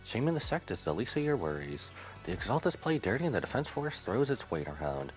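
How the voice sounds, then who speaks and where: A man's voice: on the low side, big pitch swings, brisk, faint music behind, poor audio. A man reading out the game lines of a stern, knowing, protective female martial arts master. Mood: stern, cautioning. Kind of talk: reading game dialogue aloud.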